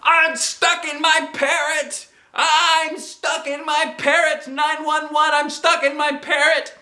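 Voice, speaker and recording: Storybook little girl, acted by a man: high, bright, stubborn and dramatic, pleading or boasting, roomy sound. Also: level tone, slow pace, full character voice. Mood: panicked. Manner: exclamatory, repeats herself, dramatic.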